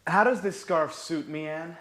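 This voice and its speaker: An actor playing a nervous, apologetic singer in a scripted sitcom for language learners, speaking anxiously and clearly. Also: male voice, fairly high pitch, measured pace, fairly bright tone, a little hiss or hum. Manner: anxious, self-doubting. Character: nervous, apologetic, clumsy male professional singer before a show. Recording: echoey room sound.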